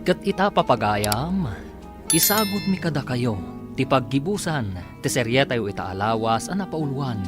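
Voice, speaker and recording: A man with an Ilocano accent announcing a radio drama in a measured, formal way. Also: mid-range voice, sing-song, music in the background.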